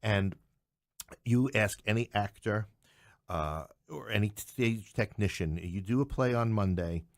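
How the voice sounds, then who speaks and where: A man with a fairly low voice and a very dry, close sound, speaking casually as an interview guest. He explains his points with animation and tends to repeat little phrases of agreement. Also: measured pace, no echo. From New York City. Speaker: guest, playwright and English professor. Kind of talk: casual podcast conversation, explaining.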